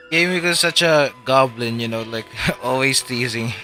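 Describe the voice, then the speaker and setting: A man doing casual live game commentary in short, reactive remarks. His voice is very bright and forward, his pace is slow, and faint music plays behind him. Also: medium pitch, poor audio. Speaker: game livestreamer.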